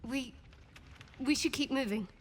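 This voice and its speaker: A woman's voice: high voice, unhurried. An actress playing a guarded young woman cleric who brushes questions off curtly. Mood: evasive. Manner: curt, dismissive. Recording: faint background noise.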